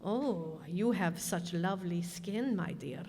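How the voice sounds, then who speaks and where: A woman's voice: on the low side, slow pace, darkish tone. A woman playing a gloating, lecherous carrot tyrant in a theatrical, leering radio-play style, savoring each phrase. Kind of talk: old-time radio play acting on stage. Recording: echoey hall stage performance.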